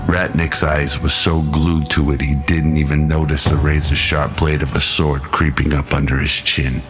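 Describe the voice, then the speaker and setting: A man narrating a scripted radio drama in a measured, dramatic storytelling manner. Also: a bass voice, little pitch movement. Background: music.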